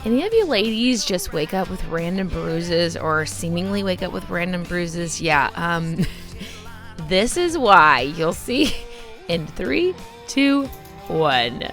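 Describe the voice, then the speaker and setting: Young mom doing a chatty vlog voiceover, deep gravelly morning voice, lowish pitch, lots of ums. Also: female voice, big pitch swings, slow, music in the background. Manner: chatty and casual, with frequent ums. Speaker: vlogger and mom of two toddlers. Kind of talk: recorded voiceover for a cleaning vlog. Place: at home, early-morning voiceover.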